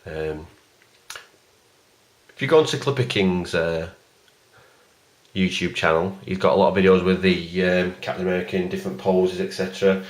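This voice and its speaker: A man giving a casual, rambling video review of a collectible figure in a plain, even voice, stopping often for ums and uhs. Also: sits low, moderate pace, pauses often. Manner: rambling and hesitant, many fillers.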